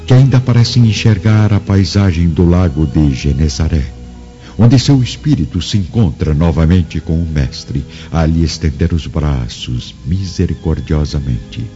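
A man with a very deep voice narrates a radio drama solemnly and theatrically. The recording is a little muffled, with music playing clearly behind him. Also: animated, measured pace, warm tone.

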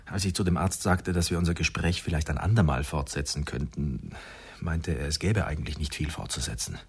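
A very low, rough-edged male voice carries a brooding father in a radio drama. He weighs his words hesitantly, with a markedly husky grain. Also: brisk, a little mellow, full character voice. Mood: troubled, hesitant. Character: brooding expectant father facing a grave decision. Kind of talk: German radio drama acting.

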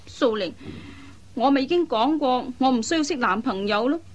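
A woman's voice: sits high, lively intonation, moderate pace. A woman playing a sensible, patient young schoolteacher and elder sister in a Cantonese radio drama, mildly chiding. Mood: mildly reproving. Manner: mild, gently chiding. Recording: dull, muffled lo-fi recording.

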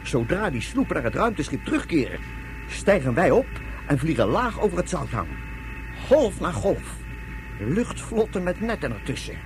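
A man's voice: a little high, very animated, normal speed, a little hiss or hum. A man playing a confident, scheming leader of a raft crew in a radio drama, bossy and gleeful.

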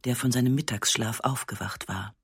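Woman, literary narration, first person, slightly breathy huskiness, sober delivery, dry studio sound. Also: rumbling low, normal speed, no room sound.

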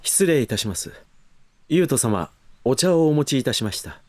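An actor playing an attentive, deferential male servant in a voice drama, speaking in a very formal, courteous, measured way. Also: medium pitch, very animated, a little mellow. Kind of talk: Japanese voice drama acting.

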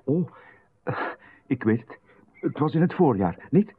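A flustered, polite playwright. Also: male voice, mid-range voice, very animated, unhurried, breathy edge, no room sound. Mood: polite unease. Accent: Flemish. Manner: polite, hesitant, easily flustered. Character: polite, flustered male playwright, anxious to please. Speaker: radio drama actor. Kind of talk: 1990s radio drama acting. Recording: dry, narrow, phone-like radio broadcast.

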